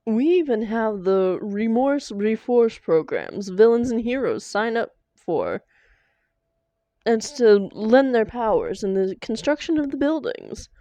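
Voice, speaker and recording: A woman's voice: mid-range voice, dark tone, no room sound. A woman playing a theatrical female supervillain and doting, grumbling lover in a scripted audio roleplay. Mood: coaxing, affectionate. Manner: theatrical, affectionate pet names, exasperated protests.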